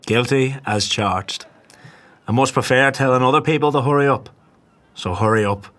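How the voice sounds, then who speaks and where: A man playing a cocky, foul-mouthed, impatient gang boss in a dark fantasy audio drama, with gruff, swaggering delivery. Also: mid-range voice, moderate pace, close to an everyday voice. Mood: sardonic, impatient. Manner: swaggering, gruff commands and coarse swearing.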